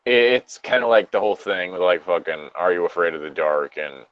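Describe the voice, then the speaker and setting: A man's voice: sits low, steady tone, a little fast, dry recording, muffled recording. A man co-hosting a podcast, chatting casually and giving opinions on horror in a rambling, sweary way. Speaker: podcast co-host.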